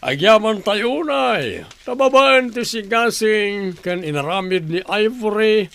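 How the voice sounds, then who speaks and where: A man's voice: high voice, animated, slow pace, vocal fry, a bit bright, heavily acted. An Ilocano-accented man playing a commanding, proud company boss in a radio drama. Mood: pleased, commanding. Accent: Filipino (Ilocano).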